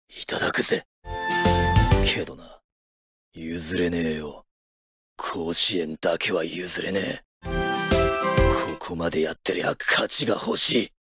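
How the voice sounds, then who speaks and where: An actor playing a gruff, blunt, hard-bitten young high school baseball player in a game. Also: male voice. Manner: blunt, brooding, rough-worded. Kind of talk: anime-style game voice lines. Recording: loud game music behind the voice.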